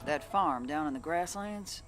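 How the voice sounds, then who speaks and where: A woman's voice: low voice, lively intonation, unhurried, a bit bright. A woman playing a world-weary mech-pilot bounty hunter in a western video game, with a dry, folksy manner, over music. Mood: businesslike. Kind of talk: video game cutscene acting. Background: background music.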